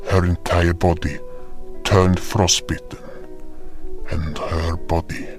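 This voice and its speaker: A man playing a remorseful furred frostborn creature, narrating his own fantasy tale in a solemn, dramatic manner. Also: deep voice. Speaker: narrator and voice actor. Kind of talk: first-person dramatic fantasy narration in character. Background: music.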